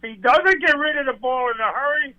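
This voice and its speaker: Old guy in his 80s calling in on a phone, high thin voice, keeps pushing his point. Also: fairly quick, sharp tone. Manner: insistent, rambling, frustrated fan. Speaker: caller. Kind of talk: radio call-in. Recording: tinny phone line.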